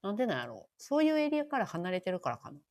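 A woman's voice: mid-range voice, wildly swooping pitch, rapid-fire, darkish tone. A woman in her thirties with a Kansai Japanese accent, co-hosting a casual podcast and thinking aloud in a reflective way. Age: 30s. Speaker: podcast co-host. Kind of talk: casual nostalgic chat.

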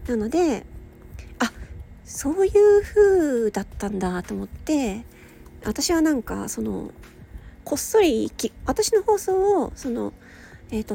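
A woman's voice: a little high, vocal fry, a little hiss or hum. A woman chatting casually to her listeners, hesitant and meandering, often giggly, sounding tired with slightly slurred speech. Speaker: audio channel host and hobby voice performer. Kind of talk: casual solo chat to listeners. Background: soft background music or ambience.

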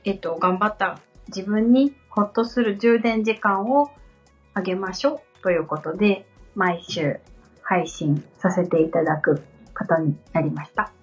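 A woman's voice: medium pitch, slightly rough. Polite woman on a slightly muffled recording. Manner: polite, simply worded. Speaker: podcast co-host and assistant. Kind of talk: conversational podcast talk, answering questions. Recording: slightly dull audio with background music. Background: background music.

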